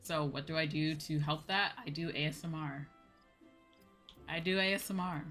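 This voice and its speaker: A woman's voice: sits low, steady tone, moderate pace, a bit bright. A young woman chatting casually and informally as she livestreams a game, with a giggly manner. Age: young adult. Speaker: streamer. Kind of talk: casual livestream chat while gaming. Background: music.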